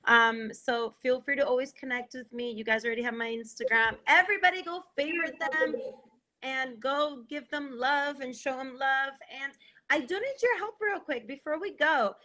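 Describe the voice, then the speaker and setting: A woman in her thirties hosts a livestream show with a high, sharp, bright voice. She talks casually into a phone camera at home. Her thanks pour out in warm, gushing runs. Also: normal speed. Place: indoors at home, plain wall.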